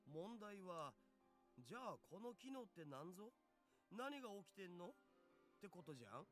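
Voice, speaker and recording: An actor playing an excitable geeky young otaku hacker with slangy speech in an anime-style visual novel. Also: male voice, high-pitched, fast, faint music behind. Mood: exasperated. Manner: slangy, geeky speech with quirky sentence endings.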